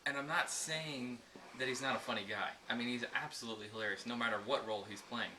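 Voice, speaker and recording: A young man joking about his video partner in a mock behind-the-scenes interview, halting with many restarts. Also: medium pitch, fairly even intonation, background noise. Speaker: video creator.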